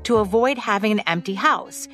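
A woman co-hosting a podcast, reading scripted history of old radio in a smooth, even way. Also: mid-range voice, normal speed, soft background music. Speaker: podcast co-host.

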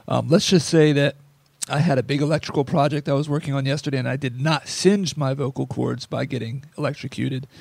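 A hoarse man hosts a casual sports podcast, his voice rough and gravelly from strain. He rambles along in a self-deprecating way. The sound is close and dry, with no room around it. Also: mid-range voice, no echo. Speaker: podcast host.

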